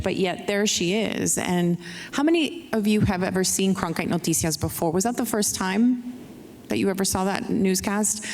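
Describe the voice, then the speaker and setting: A woman moderating a panel before an audience, explaining things and addressing the listeners directly. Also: lowish voice. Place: large hall with an audience. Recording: echoey hall with long reverb.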